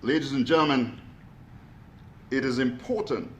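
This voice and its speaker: A man giving a motivational talk to a live audience, energetic, asking them questions and calling out to them. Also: medium pitch, normal speed, echoey room, slight background noise. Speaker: motivational speaker. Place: echoey room with an audience.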